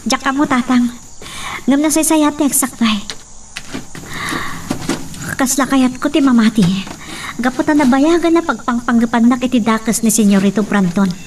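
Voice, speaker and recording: A determined young daughter, played by a woman speaks earnestly in a radio-style drama, as if instructing. Her high voice is a little distant, with clear chirping noise. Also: normal speed, long pauses, close to an everyday voice. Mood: troubled. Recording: distant, noisy with chirping insects.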